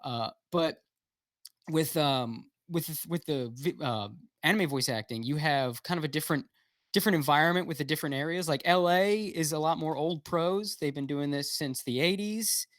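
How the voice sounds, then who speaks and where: Male guest, slightly high voice, rambling and blunt, dry video-call audio. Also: moderate pace, fairly bright tone, no room sound. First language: English. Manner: rambling, frequent fillers and restarts, blunt with profanity. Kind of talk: casual livestream chat.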